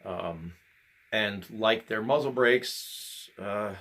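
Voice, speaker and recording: A man talks candidly to his viewers, earnest and a little halting. His voice sits low and sounds worn from a recent illness. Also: fairly bright tone. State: recovering from illness, voice altered. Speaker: channel host. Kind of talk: casual video address.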